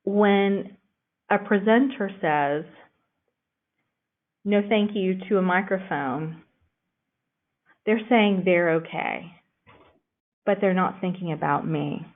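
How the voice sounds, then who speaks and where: A woman's voice: medium pitch, slow pace, pauses often. Woman lecturing over a tinny line. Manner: conversational, frequent "um" fillers. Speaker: children's librarian giving a lecture. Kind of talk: recorded lecture with slides. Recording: tinny phone-like recording.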